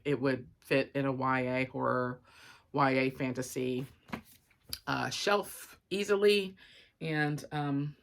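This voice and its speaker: A woman's voice: low voice, a bit bright. A woman giving an informal, rambling book review and unboxing, thinking aloud with frequent asides.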